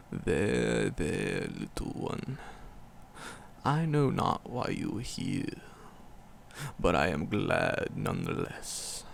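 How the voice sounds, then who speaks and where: A man voicing an ancient, hulking forest demon, tender and wistful, in a gravelly, poetic, slow-phrased ASMR roleplay. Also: lowish voice, darkish tone, slight background noise, heavily acted. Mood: gentle, glad. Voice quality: gravelly, growling creak. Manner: slow, poetic, storybook phrasing with dramatic pauses.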